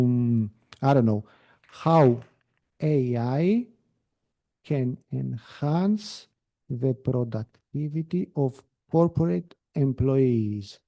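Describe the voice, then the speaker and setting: A man whose first language is Greek talks through a screen-shared software demo on a video call. His voice is mellow and sits at a middle pitch. He explains in a relaxed way, with frequent fillers and restarts. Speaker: webinar presenter.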